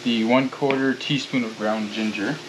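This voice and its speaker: A man's voice: medium pitch, steady tone, normal speed, very noisy. A young man cooking in a home kitchen, plainly explaining each recipe step. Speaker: home cook. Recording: loud sizzling and boiling behind. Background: frying and boiling pots.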